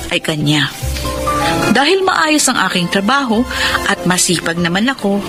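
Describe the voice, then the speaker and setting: A hardworking, dutiful young Filipino woman, played by an actress, carries a radio drama in a mid-range voice. Loud music plays right behind her. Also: mid-range voice, natural voice.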